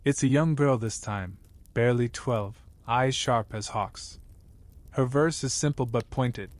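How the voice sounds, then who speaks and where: A man narrating a scripted bedtime story in a measured, wry way. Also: medium pitch, no room sound.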